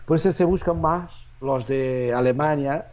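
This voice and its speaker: A man, a native Portuguese speaker with a Portuguese accent, answering interview questions as a real estate agent in a halting way, with many restarts and fillers. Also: fairly high pitch, a little mellow, muffled recording.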